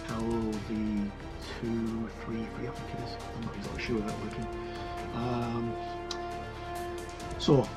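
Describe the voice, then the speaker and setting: A man giving a casual book walkthrough as a game reviewer, moving between reading aloud and halting, informal commentary. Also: medium pitch. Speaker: tabletop roleplaying game reviewer.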